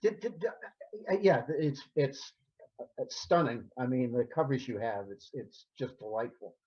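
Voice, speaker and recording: A man in the audience of a video call, asking a question in a halting, stammering way. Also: medium pitch, darkish tone, slightly rough, muffled recording.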